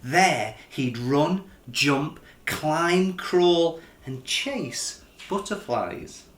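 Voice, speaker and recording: Man reading expressively, slow and echoey. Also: fairly high pitch, lively intonation, a bit bright. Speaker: author reading own book. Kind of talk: expressive children's story narration.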